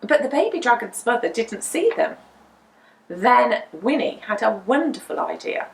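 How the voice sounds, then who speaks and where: Lady reading a kids story, super animated, kinda slow n dramatic, echoey room. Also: fairly high pitch, gravelly. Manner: dramatic, deliberate emphasis. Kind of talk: animated children's picture-book reading. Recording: roomy indoor echo.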